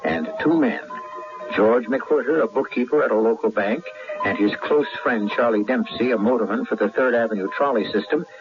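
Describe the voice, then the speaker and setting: A man's voice: mid-range voice, normal speed. A man hosting and narrating an old-time radio drama in a scripted style. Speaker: narrator, host. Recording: dull lo-fi radio recording. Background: music.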